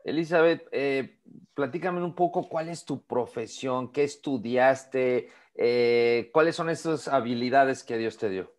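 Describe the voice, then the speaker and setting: Male interviewer, slow and deliberate questioning, mid pitch.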